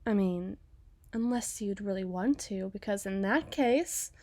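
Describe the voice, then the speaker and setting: A woman's voice: mid-range voice, unhurried, darkish tone, lightly acted. A woman playing a menacing, mockingly sweet female mob boss in a dramatic ASMR roleplay, taunting and drawing out her words for emphasis. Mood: smooth, teasing.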